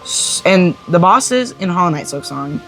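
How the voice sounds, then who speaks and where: A man's voice: sits high, animated, a little slow, creaky, fairly bright tone, soft background music, rough recording. A young male co-host of a game review show, speaking casually with informal, hesitant phrasing.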